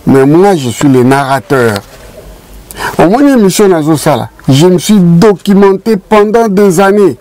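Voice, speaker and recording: A man's voice: high-pitched, lively intonation, unhurried, darkish tone. Older congolese guy, talks emphatic, tells the old community stories, small studio room. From Congo Kinshasa. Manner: emphatic, mixes French and Lingala. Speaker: show guest and narrator of community history. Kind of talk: casual studio chat, storytelling.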